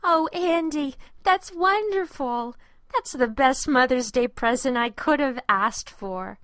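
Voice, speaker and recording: A woman's voice: sits high, takes it slowly, a bit bright, no echo, clean studio sound. A woman voicing a kind, encouraging mother of a young boy in a children's storybook, speaking tenderly and reassuringly.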